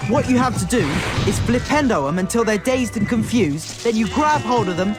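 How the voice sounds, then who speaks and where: High-voiced cheeky British teenage brother. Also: male voice, animated, normal speed. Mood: breezy. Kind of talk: video game character acting. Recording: loud game music behind.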